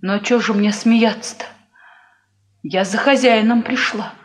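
High-voiced wistful peasant wife played by an actress. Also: female voice, measured pace, lightly acted. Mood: guarded. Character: married peasant woman, wistful over a lost love. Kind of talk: Soviet radio play acting. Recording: 1950s radio play recording with music.

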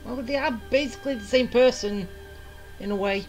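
A woman's voice: a little high, fairly quick, a little mellow, faint music behind, poor audio. A woman giving casual commentary as the host of a game playthrough.

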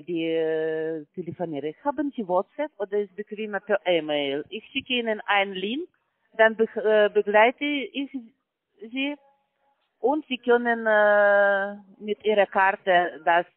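A woman's voice: medium pitch, animated, measured pace, no echo. A woman working as a call center agent on a phone call, talking in broken grammar with constant hesitant fillers. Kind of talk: phone sales pitch. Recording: tinny dry phone line.

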